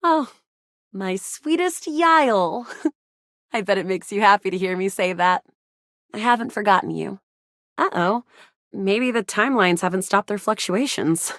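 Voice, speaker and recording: A woman's voice: mid-range voice, expressive, unhurried, long pauses, fairly bright tone, no echo, clean studio sound. A young woman voicing a living video game as a playful, eager, theatrical adventurer character. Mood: warm, playful. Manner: theatrical, emphatic.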